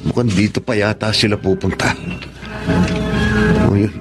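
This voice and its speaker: An actor playing a hot-headed, pushy young son in an emphatic Tagalog radio drama style. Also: male voice, on the low side, steady tone, moderate pace, over music, lightly acted. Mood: brooding, suspicious. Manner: emphatic, melodramatic, confrontational.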